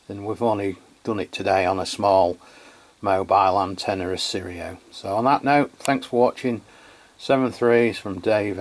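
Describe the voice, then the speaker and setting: Low-pitched Englishman, casual radio chat. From England. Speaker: radio review channel host.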